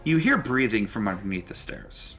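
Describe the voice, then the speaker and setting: A man's voice: on the low side, big pitch swings, moderate pace, fairly bright tone, soft background music. A man, casually narrating and refereeing a tabletop fantasy game in a conversational, encouraging way. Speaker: game master and show host.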